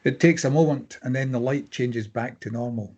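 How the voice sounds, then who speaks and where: Husky male screenplay narrator. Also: mid-range voice, normal speed, a little mellow, highs cut off. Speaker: narrator reading stage directions.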